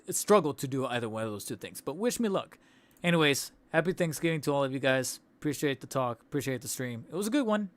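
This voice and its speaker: A man's voice: medium pitch, a bit bright, dry recording. A young man hosting a toy collecting livestream, losing his voice, rambling casually with restarts and laughs. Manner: rambling, self-interrupting, laughs between thoughts. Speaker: toy channel host. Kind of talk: casual livestream wrap-up chat. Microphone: studio desk mic.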